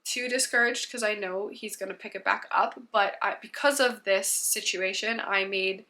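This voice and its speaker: Congested chatty woman with a bright voice. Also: mid-range voice, steady tone, normal speed. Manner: chatty, rambling, frequent ums. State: congested from a cold. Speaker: homeschooling mom vlogger. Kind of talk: casual chat to camera.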